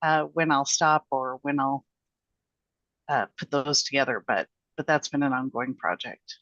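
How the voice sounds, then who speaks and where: A woman's voice: very deep voice, a little slow, fairly bright tone, no room sound, lo-fi sound. A woman guest poet chatting casually over a video call, light and laughing. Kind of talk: casual chat.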